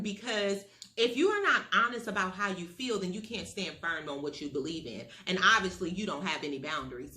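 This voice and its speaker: A woman's voice: mid-range voice, fairly bright tone, reverberant. A woman giving an earnest motivational talk, emphatic and repeating her key phrases. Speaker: motivational speaker. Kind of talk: motivational monologue.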